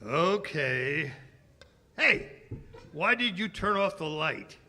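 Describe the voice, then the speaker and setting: An actor playing an earnest, excitable police commissioner in 1930s radio drama style, halting and exclamatory. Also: male voice, a little high, a little hiss or hum, exaggerated voice. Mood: nervous.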